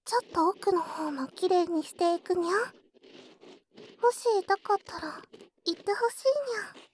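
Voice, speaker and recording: Young catgirl maid, tiny high voice, slow, sweet, coaxing, devoted, ASMR roleplay. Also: darkish tone, slight background noise. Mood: soothing, hushed. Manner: cutesy, coaxing, cat-like sentence endings. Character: sweet, devoted young cat-eared maid serving her master. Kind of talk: Japanese ASMR roleplay, partly whispered.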